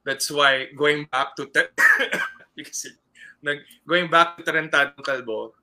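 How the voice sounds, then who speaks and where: Slow, bright-voiced Filipino man. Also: fairly high pitch. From Philippines. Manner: thoughtful, searching for words. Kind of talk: casual conversation.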